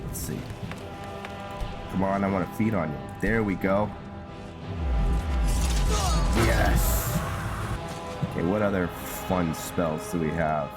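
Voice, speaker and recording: A man with a very deep voice commentates casually on a video game. He speaks slowly, wandering and hesitating as he addresses himself and his viewers. Game music plays clearly behind him. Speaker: gamer, host of a roleplay series.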